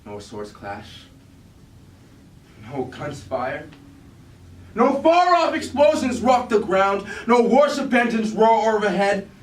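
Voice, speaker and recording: A man's voice: high-pitched, very animated, slow pace, pauses often, heavily acted. A man playing a grave, war-weary robot warrior leader haunted by guilt, in solemn, declamatory Greek tragedy style at a staged reading. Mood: sorrowful, brooding. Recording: echoey room from far off, hiss.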